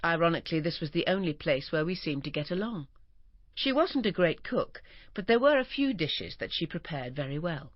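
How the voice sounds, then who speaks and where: A woman narrating a first-person memoir expressively, turning breathy and whispered at moments of feeling. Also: lowish voice, expressive, moderate pace, no room sound. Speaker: audiobook narrator. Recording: dull, dry recording.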